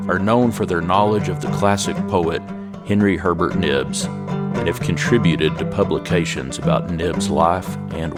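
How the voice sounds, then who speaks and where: A man hosting a cowboy culture podcast, reciting a poem and introducing his guest in a slow, measured way. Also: deep voice. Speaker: podcast host. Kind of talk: poetry recitation and show introduction.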